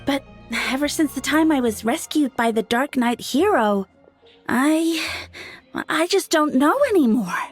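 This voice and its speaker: A woman's voice: high voice, expressive, soft background music. A woman playing a shy, lovestruck young townswoman in a video game, dreamy and hesitant. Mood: flustered. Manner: dreamy, hesitant, breathless pauses.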